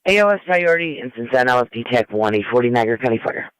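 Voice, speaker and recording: A man's voice: mid-range voice, expressive, rapid-fire, fairly bright tone, clear, resonant tone, no echo, poor audio. An American man working as a fire control dispatcher, making formal, procedural announcements over a two-way radio. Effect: two-way radio transmission.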